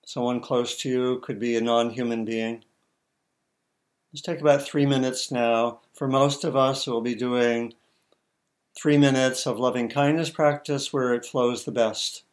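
A man teaching meditation, giving gentle guided instructions in halting, spaced-out short phrases. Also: mid-range voice, fairly even intonation.

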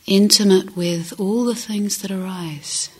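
A woman meditation teacher with a breathy, husky voice often near a whisper, gently guiding a meditation with long pauses. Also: medium pitch, steady tone. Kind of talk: guided meditation.

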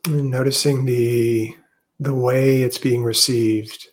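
A man guides a meditation in a medium-pitched voice with very little rise and fall. He speaks gently and very slowly, leaving long pauses between short phrases as a teacher would. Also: fairly even intonation.

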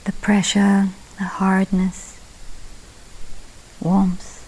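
A woman guides a meditation at a very slow pace. Her voice is mellow and relaxed, with little rise and fall in pitch. She speaks in sparse phrases that trail into soft, breathy endings. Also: rough recording. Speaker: meditation teacher.

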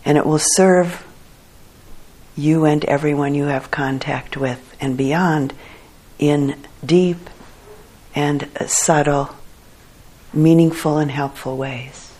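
A woman leading a guided meditation for a group as their teacher, speaking in a calm, measured way with long pauses. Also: deep voice.

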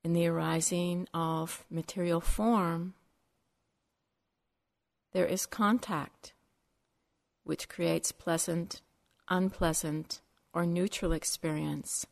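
Woman leading a guided meditation, really slow, kinda low voice, long pauses between short phrases. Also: fairly even intonation, gravelly. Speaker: meditation teacher.

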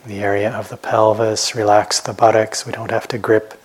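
A man guiding a meditation as a teacher, speaking gently in short, unhurried phrases with long pauses. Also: lowish voice, level tone, slightly rough. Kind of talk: guided meditation instruction.

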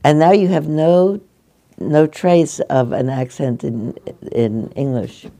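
Older woman, meditation teacher, mellow and gentle, halting delivery. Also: low-pitched, fairly even intonation. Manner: gentle, halting, restarts often. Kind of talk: dharma talk with audience questions. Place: meditation hall.

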